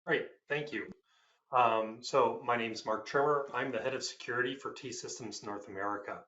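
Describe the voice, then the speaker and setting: A man's voice: medium pitch, bright, forceful tone, lo-fi sound. Businesslike man in his fifties, roomy sound. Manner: measured, businesslike. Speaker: panelist, head of security. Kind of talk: panel self-introduction.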